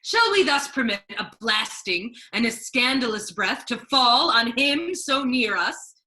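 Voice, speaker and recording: A woman playing an imperious, sardonic duke in a Shakespeare reading, speaking in a deliberate, declamatory way. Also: on the high side, big pitch swings, sharp tone, heavily acted. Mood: stern, indignant. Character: imperious duke, a ruling man, sardonic judge.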